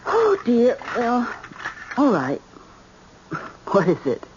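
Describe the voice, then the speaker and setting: A woman's voice: a little high, huge pitch swings, takes it slowly, noisy background, heavily acted. An actress playing a fussy, anxious middle-aged mother in old-time radio style, motherly and imploring. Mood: flustered, scolding. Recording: muffled old radio recording.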